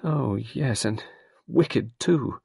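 Male reader puts on a high, faltering young woman, emotional, storybook read. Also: extremely slow, gravelly, a little mellow, breathy edge, no room sound, clean studio sound, heavily acted. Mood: pleading. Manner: pleading, breathless, faltering.

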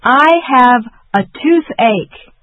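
A woman repeating short phrasebook sentences slowly and deliberately in a drill. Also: a little high, animated, no room sound. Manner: slow, careful, deliberate. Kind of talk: slow repetition drill. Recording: dull dry lo-fi audio.

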